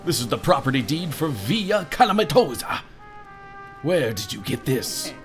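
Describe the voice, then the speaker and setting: A man's voice: fairly high pitch, very animated, normal speed, faint music behind. A man voicing a grumpy, exasperated male harbor captain who resents paperwork, in an adventure game. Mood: grudging.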